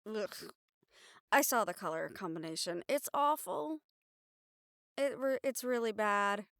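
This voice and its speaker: A woman acting a young reporter girlfriend in an intimate audio roleplay, wistful but bravely upbeat, musing in halting, expressive phrases. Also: mid-range voice, smooth, no room sound, studio quality. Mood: wry, resigned.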